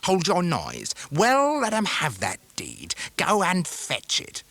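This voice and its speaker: A man's voice: high voice, huge pitch swings, slow pace, creaky, sharp tone, dry recording, voice pushed up, heavily acted. Man playing a bitter scheming clerk, scowling and resentful, dry close sound. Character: sullen scheming male clerk, bitter and hostile.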